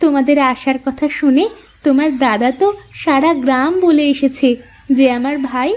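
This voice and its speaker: A woman's voice: high voice, steady tone, moderate pace, natural voice. A woman playing a homely, welcoming village wife and mother in a cartoon family story.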